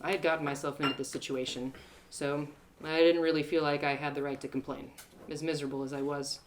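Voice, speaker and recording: A woman storyteller giving an expressive first-person narration of a fantasy adventure in a live reading. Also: low voice, fast talker, a little hiss or hum, rough recording. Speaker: storyteller, one-woman audio drama performer.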